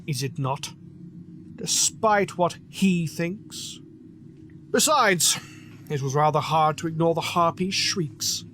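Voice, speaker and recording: Man playing a slow-spoken, imperious theater master. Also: on the high side, a bit bright, a little hiss or hum. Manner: measured, imperious, dry. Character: aloof, commanding pony master of a theater. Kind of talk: audio drama acting. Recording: music bed behind the voice.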